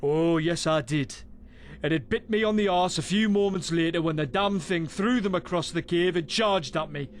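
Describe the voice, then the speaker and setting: An actor playing a boisterous, rough British adventurer in a fantasy game, telling tales in a salty, wry way. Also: male voice, fairly high pitch, moderate pace, a little hiss or hum, natural voice. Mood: amused, boastful. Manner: salty, foul-mouthed, wry storyteller. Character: boisterous rough male adventurer spinning a monster tale. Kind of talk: in-character storytelling in a fantasy game.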